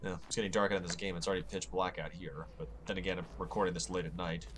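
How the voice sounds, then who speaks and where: Slightly hoarse man, low-ish voice, casual game commentary, rambling asides, light background hiss. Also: level tone, rapid-fire. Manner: rambling, self-mocking, chatty asides. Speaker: gaming channel host. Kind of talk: casual let's-play commentary. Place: home, late at night.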